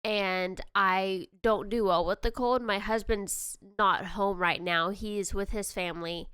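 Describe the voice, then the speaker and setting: A woman's voice: medium pitch, fairly even intonation, measured pace, fairly bright tone, clean tone, dry recording. Nasal chatty woman with a cold. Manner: rambling, self-correcting, chatty. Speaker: YouTube host. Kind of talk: casual game commentary voice-over.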